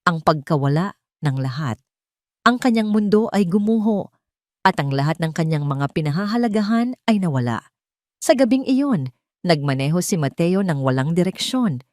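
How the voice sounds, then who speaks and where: A woman's voice: lowish voice, animated, normal speed, darkish tone, no echo, clean studio sound. A woman with a Filipino accent reading a scripted dramatic story as its narrator.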